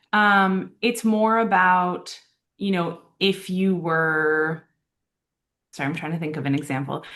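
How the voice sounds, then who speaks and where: A woman's voice: on the low side, fairly bright tone. A woman on a video call answering applicants' questions about grant rules, hesitant with many ums and restarts but reassuring. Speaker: arts council grants staff host. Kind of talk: explaining answers in an online Q&A.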